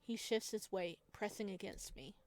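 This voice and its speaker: A woman's voice: mid-range voice, normal speed, darkish tone. A woman hosting a visual novel playthrough, reading the story and commenting casually. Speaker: game playthrough host. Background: game music.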